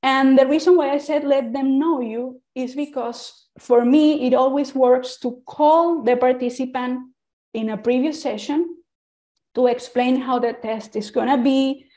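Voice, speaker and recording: A woman's voice: sits high, steady tone, measured pace, mellow. A woman from Venezuela with a Venezuelan Spanish accent, giving an informal presentation in an earnest way and often restarting and repeating words. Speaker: speaker on usability testing.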